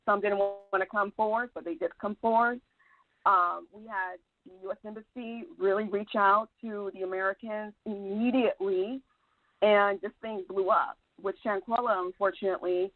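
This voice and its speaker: A woman's voice: medium pitch, no echo. A woman calling in to a talk show, earnest and polite as she lays out her reasoning. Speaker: caller. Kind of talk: call-in commentary. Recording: tinny phone line.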